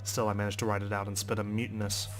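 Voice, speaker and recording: Low-voiced man reading a game dramatically. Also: flat delivery, fast talker, soft background music. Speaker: let's player. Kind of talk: dramatic read-aloud of a visual novel with asides.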